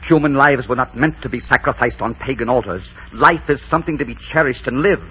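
An actor playing a headstrong, romantic conquistador in melodramatic, emphatic 1940s radio drama style. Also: male voice, mid-range voice, fairly quick, fairly bright tone, slight background noise, close to an everyday voice. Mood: indignant, urgent. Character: passionate Spanish conquistador, headstrong romantic hero. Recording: dull, muffled 1940s radio recording.

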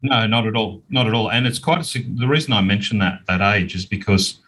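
A man's voice: lowish voice, a little fast, fairly bright tone, rough recording. A mature man with an Australian accent, explaining things conversationally as an interview guest in a practical way. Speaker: interview guest, business owner and author.

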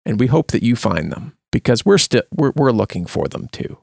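A man's voice: mid-range voice, very animated, moderate pace, vocal fry, darkish tone, dry recording, clean studio sound. A man hosting a podcast with casual, playfully dramatic banter. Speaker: podcast host. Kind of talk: casual comic banter and introduction.

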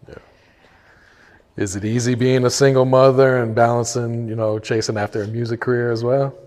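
A man's voice: medium pitch, little pitch movement, moderate pace, darkish tone, long reverb tail. A man asking questions as an interviewer in a casual, conversational style.

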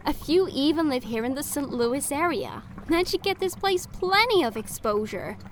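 A woman's voice: high-pitched, animated, moderate pace. A woman playing a melodramatic, sweetly entitled customer with a theatrical, gushing manner in an audio drama.

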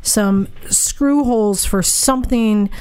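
A woman co-hosting a podcast in casual chat, talkative and quick to interject and challenge. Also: mid-range voice, a little mellow, smooth. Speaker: podcast co-host.

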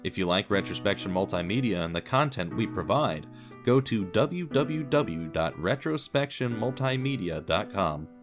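Male podcast drama narrator, fairly deep, expressive scripted read over faint guitar music. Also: normal speed.

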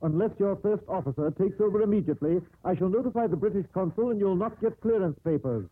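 An actor playing an officious, condescending shipping agent in a 1950s radio drama, with a formal, pompous delivery. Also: male voice, sits high, animated, fast, husky. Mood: indignant, threatening. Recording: muffled old 1950s radio recording.

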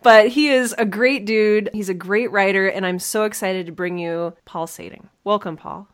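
A woman's voice: mid-range voice, moderate pace. Upbeat woman podcast host, really informal, chatting away with a guest. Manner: informal, enthusiastic. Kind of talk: casual interview chat.

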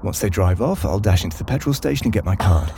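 A man's voice: low voice, lively intonation, fast talker, gravelly, dark tone. Sardonic man, noisy ambience. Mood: tense, annoyed. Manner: dry, curt, bickering. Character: grumpy, sardonic man tailing people in disguise. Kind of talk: audio drama acting.

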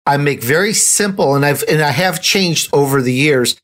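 A man's voice: a little high, lively intonation, moderate pace, a bit bright. An older man talking conversationally as the host of an interview show.

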